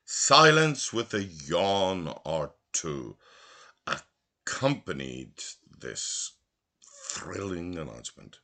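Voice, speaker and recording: A low-voiced man narrates a literary audiobook. His delivery is slow and theatrical, with pitch that swings widely from phrase to phrase. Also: very animated, creaky, sharp tone. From Belfast, Northern Ireland. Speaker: literary reader.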